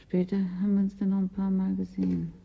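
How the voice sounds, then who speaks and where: A woman's voice: medium pitch, monotone, fast, gravelly, lo-fi sound. An actress playing a wistful, fretful woman clerk in a radio drama, with a brooding, uneasy manner. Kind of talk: German science-fiction radio drama acting.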